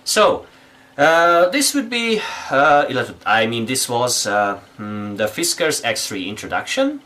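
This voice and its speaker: A young man from Hungary with a Hungarian accent, speaking careful, halting English as a guest reviewing a tool indoors. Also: medium pitch, very animated, pushed and bright. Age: young adult. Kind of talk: product review. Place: indoors, small room with patterned wall.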